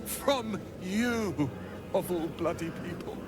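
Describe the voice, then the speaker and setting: Bitter, high-voiced proud adventurer. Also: male voice, expressive, takes it slowly, heavy background noise. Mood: bitter, sarcastic. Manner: exasperated, biting sarcasm. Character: bitter, proud, self-loathing rescued man, prickly. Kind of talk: dramatic video game dialogue. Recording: music under the voice.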